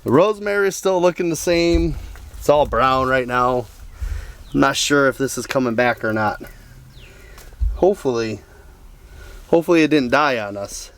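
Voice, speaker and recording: A man with a raspy voice from being sick, chatting upbeat to viewers while walking through a small backyard greenhouse. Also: mid-range voice, expressive, normal speed, long pauses, fairly bright tone. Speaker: urban homestead vlogger. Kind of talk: casual walkthrough vlog.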